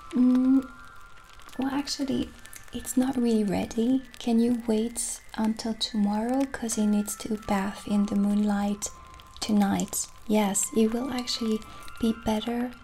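A woman in her thirties doing a gentle, soft-spoken ASMR roleplay as a witch shopkeeper, unhurried and often slipping close to a whisper, in a small room. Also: a little high, vocal fry, rough recording. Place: small dim indoor room set as a candlelit shop. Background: original music.

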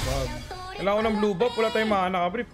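A Filipino man giving excitable, slangy live commentary on a mobile game, repeating his catchphrases. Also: high voice, fast. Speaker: gaming streamer. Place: indoor gaming setup with headrest chair. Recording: voice over loud game music. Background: game music.

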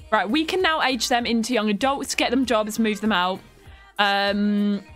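A young woman live-streaming a game from her home setup, her voice stuffy and sniffly from a cold. She greets her chat with affectionate pet names and frequent giggles, pitched a little high, with soft game music under her talk.

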